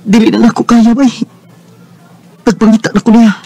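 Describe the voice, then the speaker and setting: A male actor with a Visayan Filipino accent playing an earnest, halting, lovesick young working man in a radio drama. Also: extremely high pitch, flat delivery, warm tone. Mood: forlorn, longing. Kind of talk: Bisaya radio drama acting.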